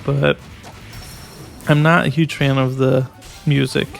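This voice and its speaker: Gravelly, flat-voiced young man reviewing. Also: mid-range voice, slow pace, faint music behind. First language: English. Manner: hesitant, frequent restarts. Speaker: game reviewer. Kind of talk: casual video game review.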